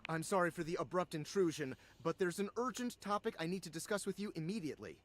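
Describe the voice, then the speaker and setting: A man's voice: sits high, expressive, fast talker, fairly bright tone. A man voicing an urgent, fretful sci-fi tech expert in a video game, with a formal, explanatory manner. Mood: urgent, worried. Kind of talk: scripted video game dialogue.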